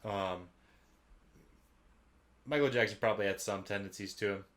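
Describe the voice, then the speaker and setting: Young adult male co-host, sharp lowish voice, casual joking chat. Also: moderate pace. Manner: joking, informal. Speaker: podcast co-host.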